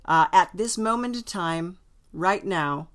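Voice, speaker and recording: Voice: mid-range voice, slow, fairly bright tone. An interviewer asking questions in a casual, conversational way.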